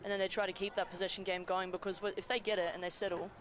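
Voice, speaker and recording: A woman's voice: mid-range voice, flat delivery, very fast. A woman, a goalkeeper, giving chatty, self-deprecating match commentary with frequent laughter at an outdoor stadium. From Greek background.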